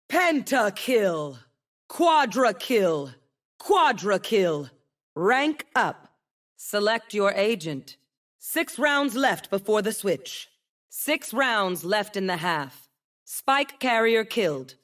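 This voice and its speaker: Poised female announcer for a tactical shooter: unhurried, authoritative, clipped calls with lively intonation. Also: mid-range voice. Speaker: game announcer. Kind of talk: short scripted match announcements.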